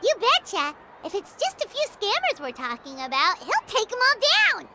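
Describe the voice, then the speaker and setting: A woman voicing a tiny, childlike fairy companion in a video game, chirpy, naive and eager, with a squeaky voice. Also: wildly swooping pitch, normal speed, heavy background noise, full character voice. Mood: confident, excited. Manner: eager, refers to herself in third person. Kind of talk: scripted video game dialogue.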